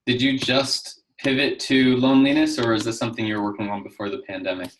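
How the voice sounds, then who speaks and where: Medium-pitched man hosting a conversation. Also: little pitch movement, fast talker, slight background noise, low-quality recording. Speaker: host. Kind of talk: conversational interviewing.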